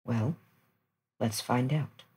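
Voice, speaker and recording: A performer voicing Eldoris, a calm, formal, clawed seafaring creature with a gravelly, husky voice and measured manner. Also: medium pitch, full character voice.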